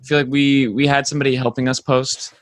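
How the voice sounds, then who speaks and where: Young man, American accent, mid-pitched voice, casual joking storyteller, dry recording. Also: little pitch movement, fairly quick, no echo. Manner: joking, tells stories in quoted mock dialogue. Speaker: band member, interview guest. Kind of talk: casual chat.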